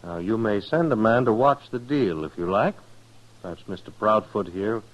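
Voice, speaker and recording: Casting: male actor for dignified frontier gambler, lowish voice, precise gentlemanly diction, proud, vintage radio western. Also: animated, moderate pace, muffled recording, natural voice. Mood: self-assured. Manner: formal, precise, touchy about honor. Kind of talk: 1950s radio western acting.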